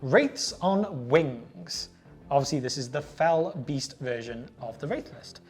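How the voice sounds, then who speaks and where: A man's voice: mid-range voice, expressive, slow pace, faint music behind. A man co-hosting a tabletop wargaming show, chatting casually and sometimes reading out rules. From Wales. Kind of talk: casual chat with some reading out of game rules.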